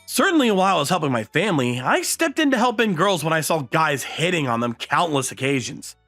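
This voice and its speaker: An actor playing a modest, bashful high school boy who narrates and speaks in an earnest manga dub style. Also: sing-song, a little fast, a bit bright, faint music behind, exaggerated voice. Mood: explaining.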